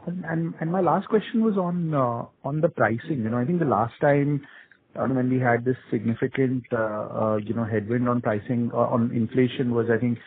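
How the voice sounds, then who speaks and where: A man's voice: medium pitch, moderate pace. A man calling in as an analyst on an earnings call, asking questions hesitantly and repeating words. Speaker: analyst caller. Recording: thin phone line.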